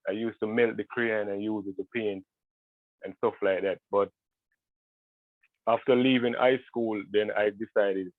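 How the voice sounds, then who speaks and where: A man's voice: fairly low pitch, dry recording. A young man with a Jamaican accent, a guest artist talking in a video call interview, hesitant with many ums and restarts. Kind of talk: casual interview talk. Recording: tinny phone-like call audio.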